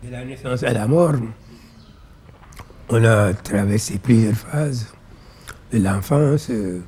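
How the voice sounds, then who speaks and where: Mellow-voiced, didactic Senegalese man. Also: medium pitch, a little hiss or hum. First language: Pulaar. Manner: didactic, measured phrasing. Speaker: interview guest, philosophy author. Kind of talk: explanatory interview answers.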